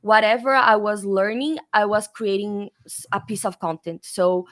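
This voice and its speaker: Brief: Brazilian-accented woman, mid-pitched, chatty guest, lots of fillers, video call audio. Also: fairly even intonation, normal speed, a bit bright. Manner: chatty, many fillers and restarts. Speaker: guest, developer advocate. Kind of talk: casual interview chat.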